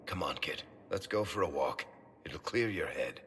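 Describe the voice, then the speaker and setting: An actor playing a gruff, worldly, kindly mentor in a video game, with a blunt, streetwise, casual manner. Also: male voice, very deep voice, expressive, normal speed, a bit bright, slight background noise. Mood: easy, wry. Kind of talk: video game cutscene acting.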